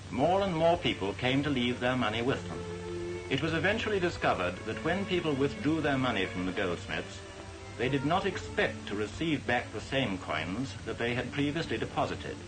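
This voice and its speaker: A man giving formal, measured, didactic narration in the style of a 1940s educational film. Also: medium pitch, rough recording. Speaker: documentary narrator. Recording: vintage 1940s film soundtrack. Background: music.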